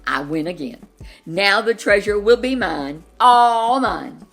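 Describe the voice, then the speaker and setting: A woman's voice: medium pitch, lively intonation, slow, a bit bright, soft background music, voice pushed up, full character voice. A woman voicing a big male dinosaur bully, menacing and boastful, in a storybook reading. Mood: gloating.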